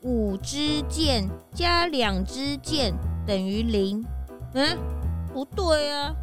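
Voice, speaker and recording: Woman playing naive boy sidekick, childlike, curious, very high pitch, animated, children's detective audio story, faint music. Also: slow pace. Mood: puzzled, chatty. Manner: childlike, curious, exclamatory questioning. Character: naive, eager young male animal detective's assistant.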